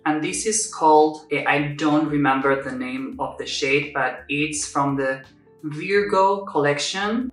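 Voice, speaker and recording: Young Spanish man explaining makeup. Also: on the high side. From Spain. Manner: chatty, explains step by step, self-correcting. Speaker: makeup vlogger. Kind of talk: casual makeup tutorial. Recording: echoey room, somewhat far from mic. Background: soft music.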